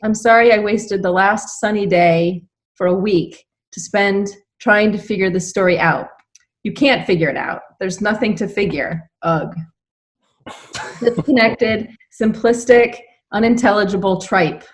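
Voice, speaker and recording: A woman's voice: medium pitch, unhurried. A woman on a book panel, chatting casually and reading short reviews aloud.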